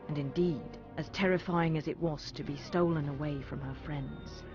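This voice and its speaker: A man narrating a fantasy video game as a dramatic storyteller, reading a scripted tale. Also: fairly high pitch. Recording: muffled game audio under music.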